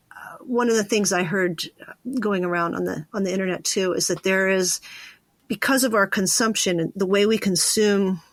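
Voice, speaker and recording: A woman's voice: lowish voice, no echo. A woman hosting a podcast, talking informally and thinking aloud in a casual, reflective conversation. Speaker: podcast host interviewing a guest.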